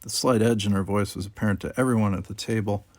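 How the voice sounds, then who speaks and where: A man's voice: lowish voice, steady tone, quick pace, no echo. A man reading a novel aloud as a scripted audiobook narrator.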